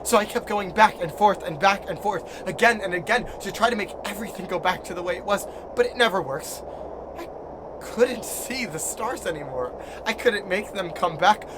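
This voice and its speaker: Actor doing desperate guilty lonely guy in audio drama, halting pleading voice, noisy background. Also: sits high, close to an everyday voice. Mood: desperate, confessing. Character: lonely, guilt-ridden, desperate man clinging to past. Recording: clear background noise and ambience.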